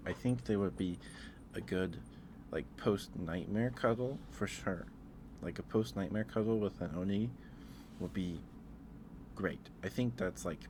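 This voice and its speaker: A man doing a casual, rambling ASMR livestream, speaking in a hesitant, musing way and repeating himself over clear background noise. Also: low voice. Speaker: live streamer.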